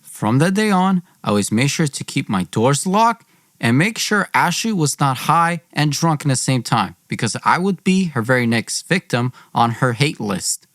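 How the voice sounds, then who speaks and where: A man's voice: mid-range voice, sing-song, normal speed, a bit bright, no echo, clean studio sound. A young man telling a dramatic personal story as a podcast host, theatrical and slangy in his delivery.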